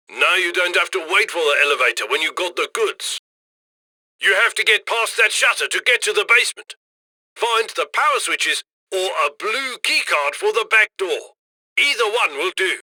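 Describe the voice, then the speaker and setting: A man's voice: high-pitched, sharp tone, no echo, heavily acted. An actor voicing a cocky, upbeat criminal heist handler in a video game, slick and conversational as he directs and eggs on the crew. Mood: pleased, approving, then firm, instructing. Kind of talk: video game mission voice-over.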